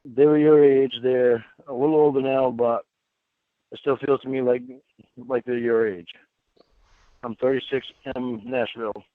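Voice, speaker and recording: A man's voice: mid-range voice, fairly even intonation, a little slow, dry recording. A man reading a chat exchange aloud and adding his own asides, heard through narrow, tinny playback.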